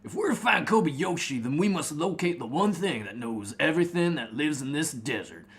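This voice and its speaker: A man's voice: on the high side, expressive, moderate pace. A man playing a seasoned, wary desert nomad in a story reading, giving matter-of-fact advice. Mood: businesslike.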